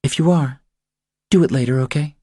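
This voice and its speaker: Man voicing an earnest, higher-pitched young mother. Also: moderate pace, mellow, dry recording, clean studio sound, lightly acted. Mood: pleading. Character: Carmen, earnest pleading young mother confiding her troubles. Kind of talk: audiobook character dialogue.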